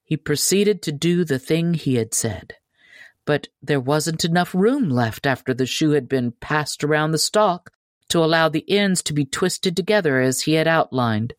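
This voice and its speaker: A woman's voice: low voice, expressive, unhurried, no room sound, clean studio sound. A woman reading a children's tall tale aloud as scripted storybook narration. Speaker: audiobook narrator.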